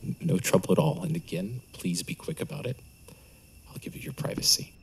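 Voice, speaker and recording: An actor playing a nervous man who killed his wife, muttering to himself in hushed tones in an audio drama. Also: low-pitched, big pitch swings, fairly quick, gravelly, in a whisper, exaggerated voice, low-quality recording. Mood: tense. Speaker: murderer hiding his wife's body.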